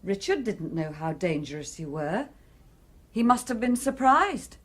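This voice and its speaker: A woman playing a shrewd, knowing carer in a radio play, coaxing and deliberate with dramatic intensity. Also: mid-range voice, sing-song.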